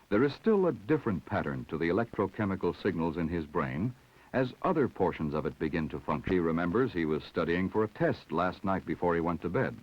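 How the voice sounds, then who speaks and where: A man's voice: low-pitched, husky. Man narrating an old science film. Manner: measured, explanatory. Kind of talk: scripted educational film narration. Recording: dull vintage 16mm film soundtrack.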